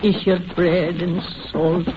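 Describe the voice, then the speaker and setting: A woman's voice: fairly low pitch, flat delivery, slow pace, lo-fi sound. Mournful old Irish mother, noisy vintage radio. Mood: mournful, resigned. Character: lonely old Irish mother, pious, superstitious, resigned. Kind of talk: old-time radio horror drama acting.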